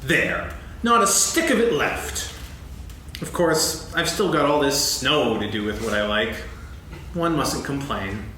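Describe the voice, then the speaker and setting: A man playing a gloomy, sarcastic, self-pitying old donkey in a staged reading, mournful and deadpan with halting delivery. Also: medium pitch. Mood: glum, sardonic. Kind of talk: staged reading of a children's story play. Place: on stage in a hall. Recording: very echoey hall, faint noise, archival recording.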